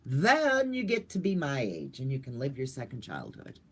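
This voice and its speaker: Older woman vlogger, chatty and goofy, pitch bouncing all over, casual livestream talk. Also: huge pitch swings, moderate pace, fairly bright tone, slightly rough.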